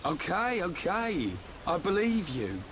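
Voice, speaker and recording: Brash young sidekick, highish voice. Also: male voice, big pitch swings, slow, fairly bright tone, slight background noise, full character voice. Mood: teasing. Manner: blunt, joking, colloquial. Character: brash, teasing young male warrior sidekick. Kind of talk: video game voice acting.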